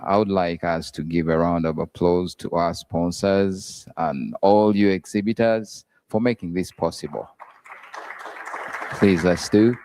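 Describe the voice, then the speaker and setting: A man's voice: deep voice, a little mellow. A man working as master of ceremonies at a trade expo, formal and hesitant as he calls up the sponsors. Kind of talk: emcee announcements. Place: event hall.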